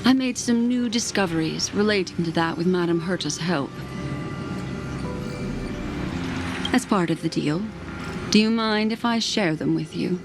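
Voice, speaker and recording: A woman voicing a composed, secretive keeper of memories in a video game, speaking in a measured, formal way. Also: fairly low pitch, loud music behind the voice, natural voice.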